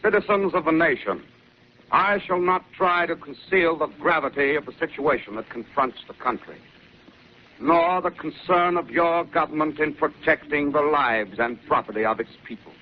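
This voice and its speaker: A man's voice: a little high, moderate pace, lightly acted. A man playing a solemn government official addressing the nation. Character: male government secretary, grave and solemn. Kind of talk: 1930s live radio drama. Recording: muffled 1930s radio broadcast with hiss.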